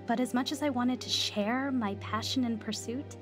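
A woman's voice: a little high, fairly even intonation, normal speed, natural voice. Actress as an earnest young woman over music. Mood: reflective, heartfelt. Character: earnest, anxious young woman in recovery, narrating her story. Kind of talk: radio drama acting and first-person narration.

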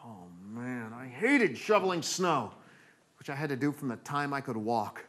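A man's voice: medium pitch, very animated, moderate pace, a bit bright. A middle-aged American man performing theatrical comic storytelling on stage, acting out moments with dramatic delivery. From New England, United States.

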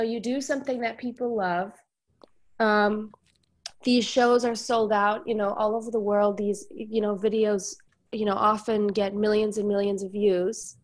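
A woman's voice: a little high, steady tone, measured pace. A woman musician with a cold, sounding congested, chatting casually on an online call with many fillers. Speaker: musician guest. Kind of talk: casual discussion.

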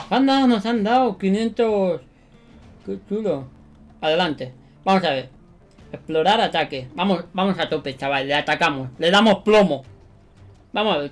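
A young man in his twenties with a Spanish accent from Spain, giving excited, slangy gameplay commentary in a bedroom studio. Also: sits high. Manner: animated, slangy, repeats himself. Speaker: gaming YouTuber.

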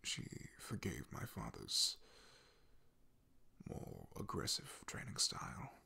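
A man playing a loyal, shy, soft-spoken knight in an ASMR fantasy roleplay, in a hushed, breathy, gravelly voice with a hesitant, halting manner. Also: rumbling low, wildly swooping pitch, heavily acted. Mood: wistful, reflective.

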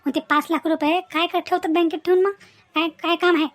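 A woman's voice: very high-pitched, little pitch movement, quick pace. A woman voicing a scheming, nagging young village wife and mother with a rural Vidarbha Marathi accent, in scolding, repetitive cartoon dialogue. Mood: sly coaxing. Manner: scolding, repetitive, rhetorical questions.

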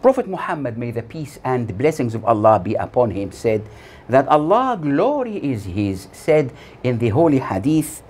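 Solemn older man preaching with lively intonation. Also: mid-range voice, a little hiss or hum. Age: 60s. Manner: solemn, deliberate, measured phrasing with pauses. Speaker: preacher delivering a Friday sermon. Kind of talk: scripted sermon read from notes. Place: small plain room indoors. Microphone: lapel mic.

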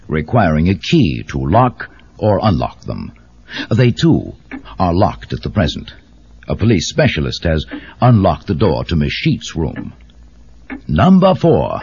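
Deep-voiced, swooping British police inspector. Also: male voice, darkish tone, husky, exaggerated voice. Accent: British English. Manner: formal, measured, grave, precise. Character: solemn, formal Scotland Yard police inspector, adult man, 1930s. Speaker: actor playing an inspector. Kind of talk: scripted in-character briefing for a murder mystery game. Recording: dull, muffled cassette tape transfer.

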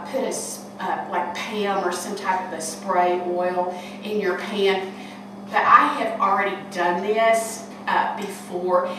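An older woman in her sixties hosting a cooking show, chatting homespun tips while she works in a large kitchen hall. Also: medium pitch. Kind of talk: casual cooking demonstration. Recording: echoey room from far off, noisy.

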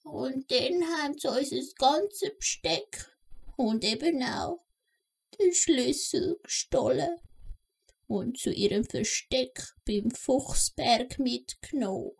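A woman acts a kindly elderly gnome grandmother in a children's radio play. She speaks Swiss German in a creaky voice and takes her time over every sentence, like someone telling a story. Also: high-pitched, lively intonation, slow, heavily acted, rough recording. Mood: storytelling.

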